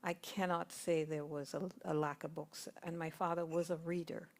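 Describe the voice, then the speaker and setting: A woman's voice: low voice, level tone, normal speed, a little mellow. A woman, sharing thoughtful reflections in a group discussion at a spiritual centre. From Guyana. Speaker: congregation member sharing.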